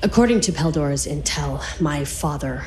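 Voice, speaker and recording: An actress playing a serious young military commander in a game, clipped and restrained. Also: female voice, low-pitched, lively intonation, moderate pace, darkish tone, a little hiss or hum. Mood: resolute. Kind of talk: cinematic game dialogue.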